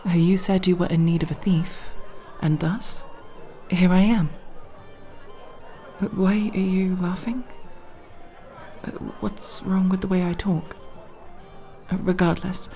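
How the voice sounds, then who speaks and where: A nervous young elf rogue, acted by a woman in an ASMR roleplay, speaks in prim, elaborate phrases. Her voice sits a little low for a woman, and she hesitates politely while keeping her pride. Music plays behind her. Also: fairly even intonation, takes it slowly, long pauses, warm tone. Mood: nervous, formal, then defensive, earnest. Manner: formal, wordy, prim diction with hesitations. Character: nervous, proud, formal young female elf thief.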